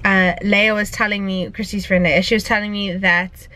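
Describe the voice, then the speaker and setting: A woman's voice: medium pitch, flat delivery, normal speed, sharp tone, echoey room, poor audio. A woman in her twenties chatting casually to a handheld camera, upbeat and full of filler words, filmed in empty rooms and a parked car. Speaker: vlogger. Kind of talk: casual vlog chat to camera. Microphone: handheld camera at arm's length.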